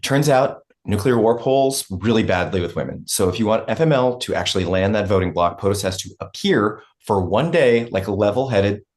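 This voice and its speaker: Actress as blunt female staffer, extremely low voice, animated swings, snide, needling, stage comedy. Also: normal speed, hardly any pauses. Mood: irritated. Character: snide, blunt, foul-mouthed political staffer. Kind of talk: comedy play table read.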